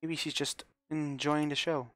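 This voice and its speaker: A man reading as a manipulative young man who speaks softly and coaxingly. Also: fairly high pitch, level tone, a little slow, no room sound, clean studio sound, soft background music, pitched above the performer's own voice. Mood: taunting.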